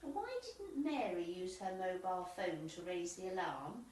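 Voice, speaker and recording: A woman's voice: fairly low pitch, animated, normal speed, recorded from a distance, background noise, lightly acted. A woman playing an inquisitive, practical club committee member in an amateur radio comedy play, asking matter-of-fact questions. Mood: curious. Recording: very echoey, off the mic.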